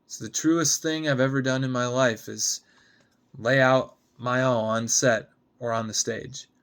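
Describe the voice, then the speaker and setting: A man's voice: medium pitch, fairly even intonation, a little slow, fairly bright tone, clean tone. A man voicing a hungover, sarcastic, guarded young woman in a dramatic script reading, blunt and foul-mouthed. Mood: sardonic, guarded. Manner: sardonic, blunt, swearing.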